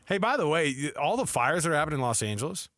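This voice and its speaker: A middle-aged American man co-hosting sports talk radio with casual, animated, colloquial banter. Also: a little high, rapid-fire, dry recording, clean studio sound. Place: radio studio. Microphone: close studio microphone.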